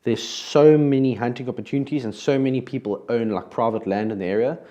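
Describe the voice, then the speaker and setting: Mellow South African man hosting. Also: medium pitch, a little fast, highs cut off. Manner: conversational, with frequent restarts. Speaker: podcast host. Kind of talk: casual podcast conversation.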